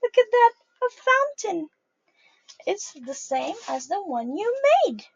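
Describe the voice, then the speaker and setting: A young woman reading a picture book aloud to young children in a sing-song, animated way, calling them her friends. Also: very high-pitched, slow pace, vocal fry, dry recording, muffled recording. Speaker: early childhood storyteller.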